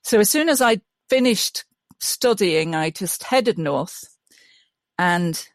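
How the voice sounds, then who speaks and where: A woman's voice: lowish voice, animated, slow pace, no echo. Enthusiastic woman, dry close sound. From Yorkshire, England. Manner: enthusiastic, restarts often, many ums. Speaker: guest, mud mason. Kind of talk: casual interview chat.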